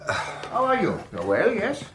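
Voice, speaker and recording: Formal, courteous professor, very expressive melody, faint noise on the radio-play recording. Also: male voice, high voice, a little slow, heavily acted. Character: courteous foreign professor, smoothly hospitable husband.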